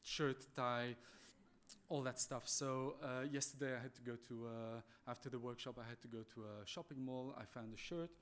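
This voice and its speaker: A man with a French accent giving a conference presentation in a halting, polite, apologetic way. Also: medium pitch, a bit bright. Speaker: conference speaker, animal welfare manager.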